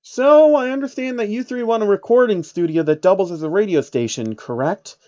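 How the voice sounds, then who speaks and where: A man's voice: high voice, brisk, mellow, muffled recording, voice pushed up, lightly acted. Man voicing a stately, formal pony princess. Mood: stately, polite. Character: regal female pony princess, formal and composed.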